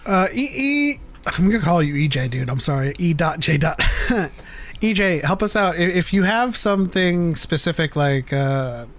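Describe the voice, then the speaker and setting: A man's voice: a little high, expressive, moderate pace, a bit bright. A man co-hosting a casual livestream chat, reading viewer questions, rambling and joking with frequent laughs. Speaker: podcast co-host reading live chat.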